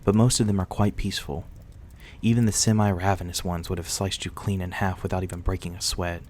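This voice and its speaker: A man playing a courteous, reclusive young-looking vampire in an intimate ASMR roleplay, speaking softly and reassuringly in a politely old-fashioned way. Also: sits low, little pitch movement, brisk, a little hiss or hum, close to an everyday voice. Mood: gently concerned.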